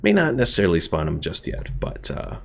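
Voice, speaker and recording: A man's voice: deep voice, huge pitch swings, fairly quick, gravelly. A man doing casual gameplay commentary, rambling and thinking aloud as he chats with his viewers. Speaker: game streamer. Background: game music.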